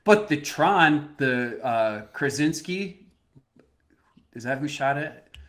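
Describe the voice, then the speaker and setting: A man co-hosting a podcast, arguing his point with growing excitement. He talks in quick bursts, laughing as he pushes back and restarts sentences. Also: on the high side. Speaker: podcast co-host. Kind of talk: casual chat.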